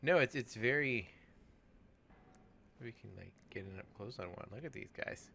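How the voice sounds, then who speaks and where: A man co-hosting a let's play, chatting casually and thinking aloud in a halting, musing way. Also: mid-range voice, lively intonation, vocal fry, sharp tone, a little hiss or hum. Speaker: let's play co-host playing the game. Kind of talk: casual game commentary chat.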